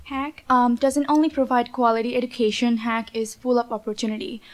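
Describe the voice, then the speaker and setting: A young woman from Bangladesh, a student speaking on a panel, halting with many fillers. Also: on the high side, flat delivery. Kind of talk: panel talk.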